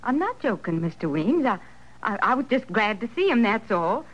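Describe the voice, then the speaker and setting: A woman's voice: fairly high pitch, sing-song, moderate pace. A woman acting in an old-time radio western as a plucky, earnest frontier daughter with a rural Western American accent. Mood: earnest, insistent. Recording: dull old radio recording, faint noise.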